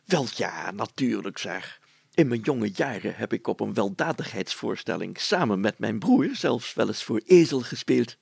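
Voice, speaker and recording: Man playing a gravelly, pompous old mayor. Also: fairly low pitch, lively intonation, normal speed, a little mellow, dry recording, heavily acted. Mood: self-satisfied. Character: pompous, gullible, greedy older male village mayor.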